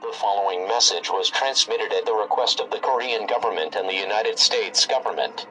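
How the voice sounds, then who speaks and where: A man's voice: fairly low pitch, fairly quick, rough recording. A man reading a scripted emergency broadcast announcement as an alert announcer. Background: loud music.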